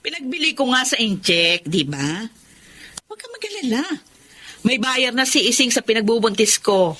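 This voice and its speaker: A brazen middle-aged Filipino mother, snappish and dismissive. Her voice is high and sharp with lively swings, over faint noise, in radio drama style. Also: moderate pace. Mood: defiant. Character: brazen, irritable pregnant mother who sells babies.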